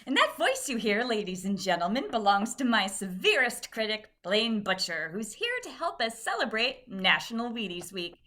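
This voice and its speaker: Woman doing a chirpy old radio announcer, bright voice, bouncy pitch, jokey. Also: sing-song, moderate pace, hardly any pauses. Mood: chirpy, amused. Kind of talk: 1950s radio ad acting.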